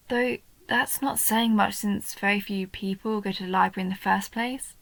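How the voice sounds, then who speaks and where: A woman voicing a young female goblin in a roleplay audio, a nerdy, sweet and curious bookworm who talks earnestly and eagerly, sometimes softly breathy. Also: fairly high pitch, dry recording. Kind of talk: roleplay audio, intimate one-sided scripted dialogue.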